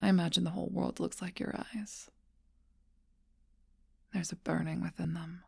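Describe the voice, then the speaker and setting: A seductive, ageless vampire voiced by a woman murmurs in a low, gravelly whisper close to the microphone. Her breath-heavy voice barely rises or falls, keeping a slow, teasing, possessive intimacy, like a storybook creature in an ASMR role-play. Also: level tone, dry recording, exaggerated voice.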